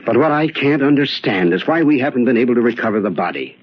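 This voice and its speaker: An actor playing an earnest, studious nephew in a radio drama, sober and questioning with a husky voice. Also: male voice, mid-range voice, lively intonation, fast talker. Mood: concerned, sober. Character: earnest, troubled nephew, a studious young man. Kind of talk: old-time radio drama acting. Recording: dull, lo-fi radio drama recording.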